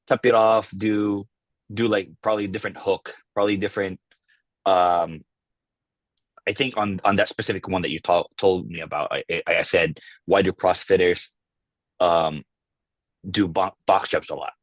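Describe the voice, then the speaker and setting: Laid-back male guest, very low voice, dry close sound, loose rambling delivery. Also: no echo. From Philippines. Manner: relaxed, halting, many fillers and restarts. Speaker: podcast guest, content creator. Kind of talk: casual interview chat.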